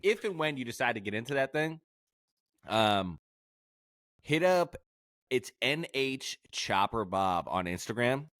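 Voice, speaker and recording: A man's voice: mid-range voice, big pitch swings, unhurried, pauses often, a bit bright, no room sound, studio quality. A man co-hosting a podcast, casual and laid-back, telling stories with some swearing. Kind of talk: casual chat.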